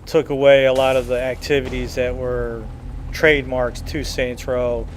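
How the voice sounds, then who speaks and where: Flat-toned man doing game commentary. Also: medium pitch. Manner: rambling, halting, matter-of-fact. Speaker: gameplay video commentator. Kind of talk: casual game walkthrough commentary. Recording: faint game engine noise behind.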